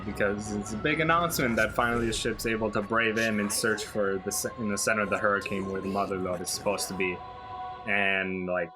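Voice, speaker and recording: A man's voice: fairly low pitch, steady tone, brisk, music in the background. A man giving casual, chatty game commentary and explaining things like a fan. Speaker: let's play host.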